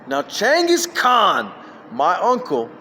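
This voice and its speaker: A man with a high-pitched voice delivers a rambling solo rant into his phone. He leaps between topics with emphatic, scattered energy. A faint hum of noise sits behind him. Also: huge pitch swings, slow, pushed and bright. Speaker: vlogger.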